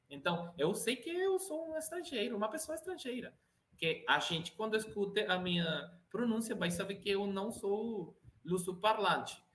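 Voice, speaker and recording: A man's voice: high-pitched, fairly bright tone. Venezuelan man, careful hesitant Portuguese. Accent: Venezuelan Spanish. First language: Spanish. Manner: hesitant, searching for words, frequent restarts. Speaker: interview guest, language learner. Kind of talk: casual interview chat.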